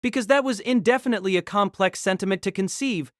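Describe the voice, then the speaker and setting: A man's voice: high voice, fast talker, dry recording, studio quality. A man reading scripted dramatic narration for an audio drama.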